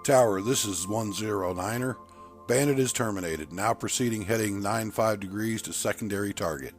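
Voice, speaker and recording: Man acting a confident fighter pilot, low voice, clipped military call-outs, audiobook drama, music underneath. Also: normal speed, close to an everyday voice. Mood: cool, confident. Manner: clipped military radio phrasing. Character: cool, confident male fighter pilot on radio.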